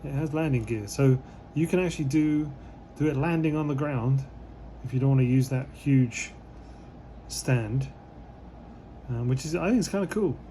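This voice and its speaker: Slightly hoarse man unboxing a kit. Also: mid-range voice, normal speed, a little hiss or hum. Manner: relaxed and rambling, many fillers. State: slightly hoarse, losing his voice. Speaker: model kit reviewer. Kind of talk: casual unboxing commentary.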